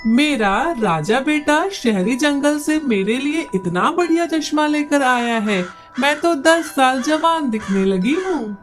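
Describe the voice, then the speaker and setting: A woman's voice: high voice, animated, slow, hardly any pauses, soft background music. A woman voicing a proud, doting and vain mother crow in a children's cartoon. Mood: doting, pleased. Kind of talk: Hindi children's cartoon dubbing.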